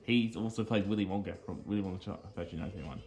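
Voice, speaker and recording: A man giving rambling, casual commentary on video game cutscenes, speaking haltingly with repeated phrases and earnestly explaining small details. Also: low-pitched, a little mellow. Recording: game music behind the voice.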